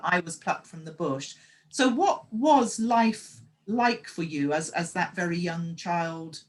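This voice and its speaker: A woman's voice: fairly low pitch, slow pace. A woman hosting an interview as a story coach, asking probing questions with frequent hesitant fillers. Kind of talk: casual interview chat.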